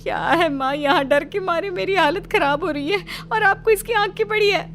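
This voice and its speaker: A woman's voice: extremely high pitch, moderate pace, fairly bright tone, slight background noise. A woman voice acting flustered, nosy women of an Indian household, a mother-in-law and elder daughter-in-law, in a cartoon story. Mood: frightened.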